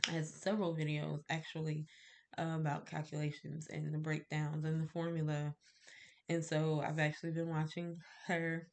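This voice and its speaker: A woman's voice: low-pitched, completely flat delivery, moderate pace, gravelly, darkish tone. A woman with a stuffy cold, sounding nasal, chatting casually to camera about her candle-making and rambling with fillers and little laughs. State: stuffy, congested.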